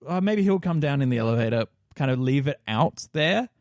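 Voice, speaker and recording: A man's voice: medium pitch, lively intonation, a little fast, a little mellow, dry recording. Man playing tabletop, dry close voice. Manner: thinks aloud, describes his character's actions in third person. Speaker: player in a tabletop roleplaying game. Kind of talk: casual game chat.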